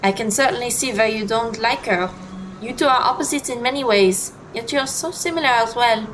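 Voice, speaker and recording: A woman voicing a calm, sensible teenage girl with a measured manner, in an audio drama. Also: on the high side, background noise, close to an everyday voice. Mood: thoughtful.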